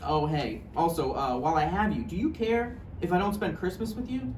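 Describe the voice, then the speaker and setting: A man's voice: a little high, a little fast, darkish tone, lightly acted. A man playing an anxious, insecure, needy boyfriend in a naturalistic play table read, hesitant and pleading. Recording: echoey room, distant mic, faint noise.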